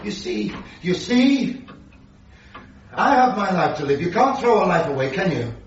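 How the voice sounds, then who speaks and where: An actor playing an exasperated, pleading, bitter middle-aged husband in a radio drama, ranting in halting bursts. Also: male voice, high voice, big pitch swings, slight background noise. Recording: echoey stairwell, distant, muffled radio broadcast.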